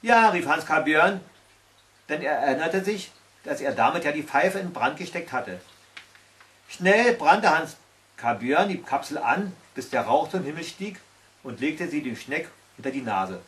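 A man's voice: on the high side, expressive, measured pace, a bit bright. A man in his sixties reading a fairy tale aloud at home, as a storyteller. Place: small tiled-stove corner indoors at home. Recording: recorded from a distance.

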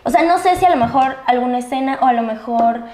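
A woman's voice: sits high, quick pace, mellow. A young Mexican woman hosting a casual interview with informal, slangy phrasing. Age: young adult. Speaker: interviewer, host. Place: indoor studio set at a desk. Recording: roomy sound, noticeable background noise.

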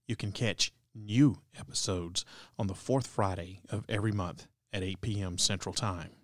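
A man's voice: lowish voice, a bit bright, no room sound. A man hosting a podcast, reading a scripted introduction into a close microphone. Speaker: podcast host. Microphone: close microphone, dry recording.

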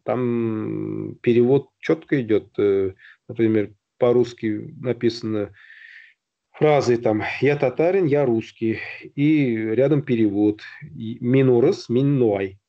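A middle-aged man explaining at length on a video call, halting with many fillers and restarts. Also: mid-range voice, creaky. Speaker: guest on a video-call round table. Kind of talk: casual discussion. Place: indoor room at home. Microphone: video call, phone or webcam close to the face. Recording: dull lo-fi video call audio.

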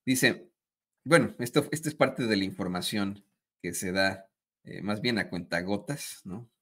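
A male journalist in his forties delivers news commentary in a low-pitched but very bright, forceful voice. He is emphatic and denouncing, repeating words for stress as he presents reports from a small indoor studio. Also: measured pace, slightly rough. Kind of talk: opinionated news commentary, partly reading a wire report.

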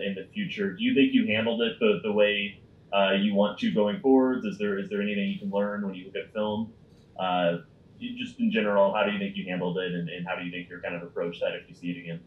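A male reporter with a lower voice rushes through a rambling question, stumbling and repeating himself. The sound is muffled and echoey, like a remote call. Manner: rapid, repetitive, restarting question. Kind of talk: press conference question.

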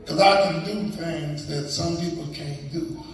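Middle-aged preacher guy doing comedy, high voice, drawly folksy storytelling, phone recording from the pews, lots of echo. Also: measured pace. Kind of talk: stand-up comedy at a church. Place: large church sanctuary, on the pulpit stage. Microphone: stand mic, filmed by a phone from the pews. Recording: echoey sanctuary, phone from far off, noisy.

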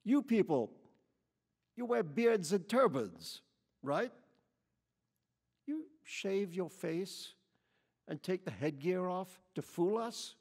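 A man's voice: on the high side, expressive, unhurried, big-room sound. A man reading aloud and voicing a hostile, accusing young American woman who confronts strangers at a campground, with drawling slang.

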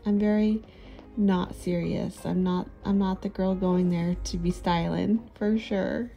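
A woman in her thirties narrating a thrift shopping trip in a chatty, relaxed, easily amused way. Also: on the low side, measured pace, gravelly, faint music behind. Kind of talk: casual voice-over narration.

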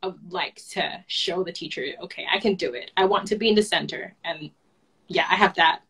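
Bright-voiced young woman with a Hong Kong accent. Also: lowish voice, fairly quick, slightly rough. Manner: soft-spoken, light laughs, simple phrasing. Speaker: interview guest. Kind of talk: personal story in a video call. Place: home room, indoors. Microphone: video call webcam.

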